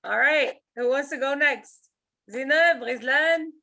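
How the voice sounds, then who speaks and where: A woman's voice: high voice, a little slow, vocal fry, pushed and bright. A woman leading an online writing class on a video call, encouraging her students, laughing often and repeating herself as she talks.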